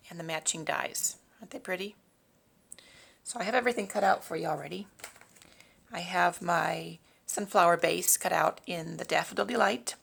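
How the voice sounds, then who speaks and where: Raspy, creaky-voiced woman giving a craft demo. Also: on the low side, a bit bright. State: hoarse, throat-clearing from long talking. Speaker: host of a papercraft tutorial. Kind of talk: casual craft demonstration, talking while working.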